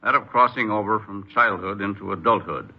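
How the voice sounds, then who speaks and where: A man's voice: fairly low pitch, fairly quick, slightly rough. A man delivering a formal scripted address as an official on vintage radio. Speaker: corrections official giving commentary. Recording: muffled old radio broadcast.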